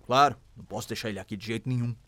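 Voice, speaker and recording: A man's voice: mid-range voice, fairly even intonation, rapid-fire, bright, forceful tone, lightly acted. An actor playing a determined, protective enslaved man in a radio drama, speaking firmly and colloquially. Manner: firm, colloquial. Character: determined enslaved man, protective older brother, escape leader.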